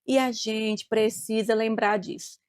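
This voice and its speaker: A woman's voice: on the high side, flat delivery, unhurried. A woman from Northeast Brazil with a Northeastern accent, giving emphatic coaching-style advice as a studio guest and stretching out key words. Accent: Northeastern Brazilian Portuguese. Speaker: guest speaker. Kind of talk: motivational studio talk.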